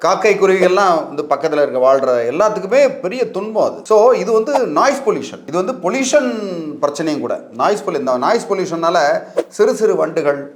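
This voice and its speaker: A man with a Tamil Nadu accent giving informal legal advice as an expert, emphatic and colloquial, mixing in English words. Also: fairly high pitch. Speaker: legal expert guest answering questions. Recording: echoey, reverberant room.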